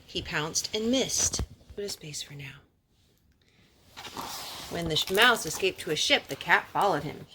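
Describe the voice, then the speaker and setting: A woman's voice: fairly low pitch, animated, unhurried, long pauses. A woman reading a children's storybook aloud expressively, with casual asides to children. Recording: clearly noisy home recording with clicks.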